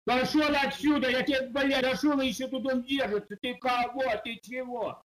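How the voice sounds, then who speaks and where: A man's voice: very high-pitched, fairly even intonation, normal speed, a bit bright, low-quality recording. A man in his seventies, a game player, grumbling, cursing and lecturing younger players in a heated voice chat. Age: 70s.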